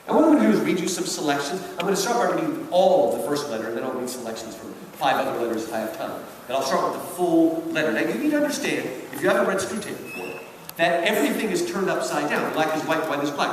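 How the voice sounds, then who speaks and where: A man giving an animated public lecture as a college teacher, with an emphatic, theatrical storytelling manner. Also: fairly high pitch. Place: large indoor hall at a public event. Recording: very echoey hall from far off, faint noise.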